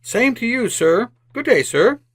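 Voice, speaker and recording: An actor playing a meek, kindly, poor clerk in old-time radio drama style, timid, polite and deferential. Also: male voice, very high-pitched, sing-song, unhurried, fairly bright tone, slight background noise, full character voice.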